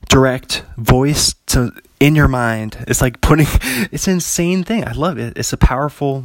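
A man's voice: medium pitch, vocal fry, no echo. A man hosting a podcast on his own, rambling in a loose, chatty way and often chuckling between thoughts. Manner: rambling, chuckling, heavy use of "like". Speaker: podcast host. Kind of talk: casual solo podcast monologue.

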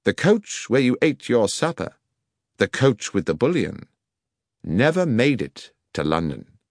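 A man voicing a sharp, commanding middle-aged detective who asks precise questions, in an audiobook reading. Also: medium pitch, sing-song, measured pace, no echo, studio quality, voice pushed up. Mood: probing. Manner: crisp, precise, probing questions.

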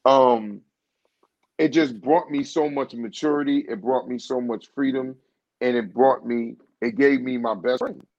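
A man's voice: medium pitch, fairly even intonation, a little slow, darkish tone. A man being interviewed as a singer, telling a long casual story with lots of "you know what I'm saying" and restarts. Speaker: guest singer. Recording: dull, dry muffled recording.

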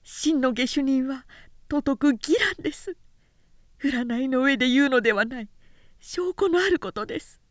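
Woman acting out noble widow, high delicate pitch, plaintive yet resolute, archaic ladylike wording, dry studio-like sound, storybook reading. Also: expressive, measured pace, dry recording. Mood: tearful, pleading. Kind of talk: dramatic literary audiobook reading.

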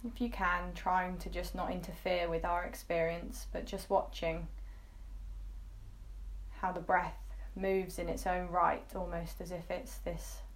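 Slow, even-toned woman guiding meditation. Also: lowish voice, poor audio. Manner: unhurried, gently coaxing phrasing with pauses. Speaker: meditation guide. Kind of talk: guided meditation, soft instruction.

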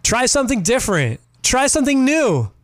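A man's voice: very high-pitched, sing-song, moderate pace. A man co-hosting a morning radio show, upbeat and chatty, bantering casually with a co-host and callers. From Massachusetts.

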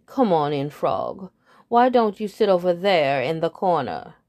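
A woman voicing a snobbish, haughty head bird with a pompous, drawn-out way of speaking. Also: fairly low pitch, big pitch swings, darkish tone.

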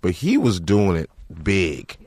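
A man hosting a casual comedy podcast, animated and joking, swearing freely. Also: sits low, slow pace, dry recording. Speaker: podcast host.

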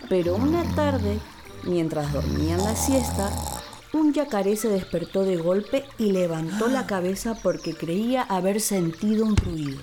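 Female storyteller, mid-pitched, theatrical and expressive children's narration over background music. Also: unhurried. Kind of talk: scripted dramatic storytelling for children.

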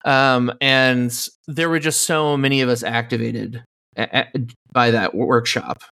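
A man as a podcast guest, talking slowly and calmly in casual conversation, with many fillers. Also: medium pitch, vocal fry. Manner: slow, calm, with many you-knows.

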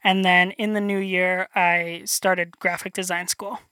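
A young man from North Dakota with a high voice, chatting as an interview guest, rambling and self-deprecating with many restarts. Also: flat delivery, unhurried, bright, forceful tone, smooth, no echo, studio quality. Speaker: illustrator guest. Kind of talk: casual interview chat.

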